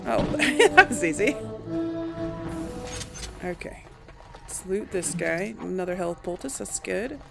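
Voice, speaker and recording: A woman's voice: on the low side, animated, slow pace, gravelly, pauses often, loud music behind the voice. A woman giving casual let's play commentary on a video game, thinking aloud as she plays. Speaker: gamer narrating a role-play playthrough.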